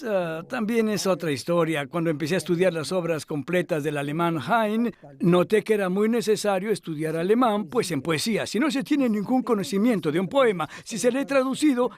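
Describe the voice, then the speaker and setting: An older man being interviewed, speaking in an unhurried, reflective way with hesitant fillers. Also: high-pitched, a little mellow.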